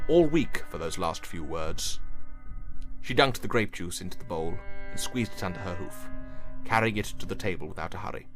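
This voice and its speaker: A man narrating a story in scripted third-person prose. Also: lowish voice.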